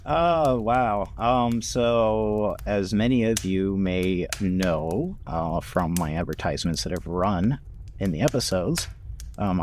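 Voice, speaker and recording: A man with a low voice tells his story in a casual, reflective way, like a podcast cast member chatting with colleagues. He takes his time with it. There is faint noise behind him, with small clicks and keyboard sounds. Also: darkish tone. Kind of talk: casual chat.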